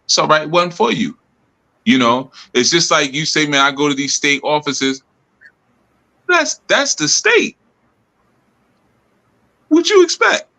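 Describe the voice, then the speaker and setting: A man's voice: fairly high pitch, sing-song, unhurried, gravelly, long pauses, pushed and bright. A man leading a group talk, teaching loosely with laughs and lots of "you know" and "man". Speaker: spiritual group leader.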